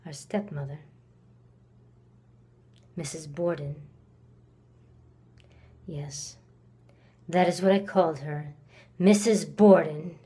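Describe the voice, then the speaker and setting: A woman performing a dramatic monologue as a cold, reclusive, resentful woman accused of murder in the 1890s, with deliberate pauses. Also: low-pitched, mellow. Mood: cold, somber. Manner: slow, deliberate delivery with long pauses.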